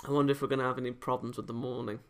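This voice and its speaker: A man's voice: mid-range voice, flat delivery, rapid-fire, clear, resonant tone. A man hosting a let's play, reading a visual novel aloud and breaking off into casual, joking asides. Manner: breaks off into joking, teasing remarks on the story. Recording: game music behind voice. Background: background music from the game.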